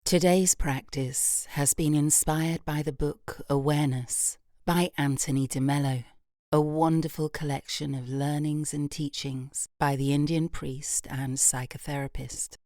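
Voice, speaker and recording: A British woman guiding a yoga nidra meditation in a soft, gentle, unhurried voice with long pauses, breathy at phrase ends. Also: deep voice, steady tone, dry recording, studio quality. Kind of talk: guided meditation, slow and soothing.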